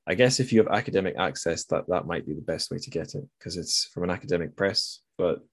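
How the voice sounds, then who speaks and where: A man's voice: sits low, quick pace, husky. A man hosting a podcast in a casual, conversational style, informally thinking aloud as he goes. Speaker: podcast host.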